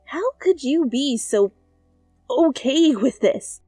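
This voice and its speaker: A hot-tempered young man spills over in frantic, exasperated ranting, as a woman reader puts him on. His voice climbs high and gets loud. It sounds dry and close, with faint music underneath. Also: expressive, slow pace, no room sound.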